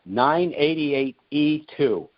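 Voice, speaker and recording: A man hosting a question-and-answer call, explaining things deliberately and emphatically, repeating his key points. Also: fairly high pitch, animated. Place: conference call. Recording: muffled, narrow-band conference call audio.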